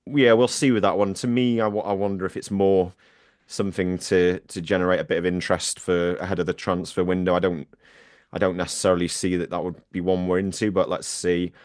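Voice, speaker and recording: Deep, low-pitched man on a dry, close home microphone. He presents a football news roundup in a chatty, self-deprecating way, with plenty of ums. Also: level tone, fairly quick, a little mellow, no echo. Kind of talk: casual podcast chat.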